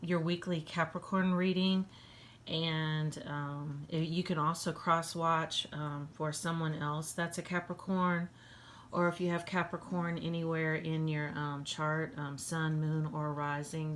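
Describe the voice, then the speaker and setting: A woman with a hoarse voice giving a casual tarot reading, halting with many ums and frequent throat clearing. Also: low voice, flat delivery, a little hiss or hum. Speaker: tarot reader.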